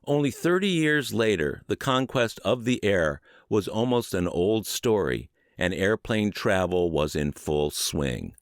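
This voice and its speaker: A man narrates an audiobook in a lowish voice that rises and falls expressively. His phrasing is deliberate and emphatic, as he reads from a prepared text. The sound is dry, with no audible room. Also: big pitch swings, dry recording. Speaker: audiobook narrator and podcast host. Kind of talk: scripted audiobook narration of an old book.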